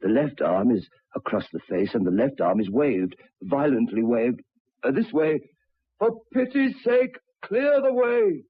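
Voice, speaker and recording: An actor playing a troubled, educated railway signalman in an old radio drama, speaking haltingly and repeating his words. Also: mid-range voice, big pitch swings, breathy edge, dry recording. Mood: frantic warning. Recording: tinny, band-limited old radio recording.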